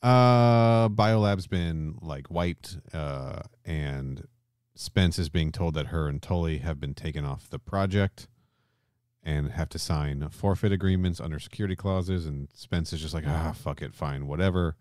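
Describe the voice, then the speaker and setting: Very deep-voiced man chatting on a podcast. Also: measured pace, darkish tone, smooth, no echo, clean studio sound. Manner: colloquial, mildly sweary, often seeking agreement. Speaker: podcast host. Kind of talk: casual chat, recapping a story.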